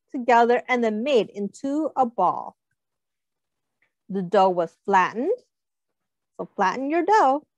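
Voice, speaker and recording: Slow, lively woman reading to kids. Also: on the high side, no room sound, highs cut off. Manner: animated, asks children questions, does little character voices. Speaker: teacher reading aloud. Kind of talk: children's picture-book read-aloud.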